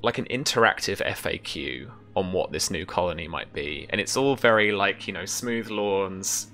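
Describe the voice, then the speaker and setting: A man's voice: low voice, moderate pace, gravelly, bright, forceful tone. A man in his thirties improvising scene narration as game master, in hesitant, piecemeal phrases, close-miked on a video call. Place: small room at home with bookshelves.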